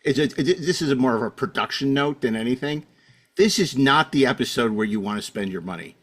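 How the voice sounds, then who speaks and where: A man's voice: medium pitch, a little fast, a bit bright. A man hosting a casual panel discussion, arguing his opinions emphatically and often repeating himself. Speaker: podcast host.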